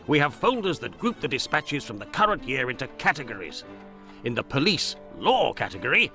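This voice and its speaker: A sharp, creaky, cynical British newsman. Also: mid-range voice, exaggerated voice. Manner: colloquial, scoffing. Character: cynical, excitable Victorian news agency man, grudgingly helpful. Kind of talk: scripted video game acting.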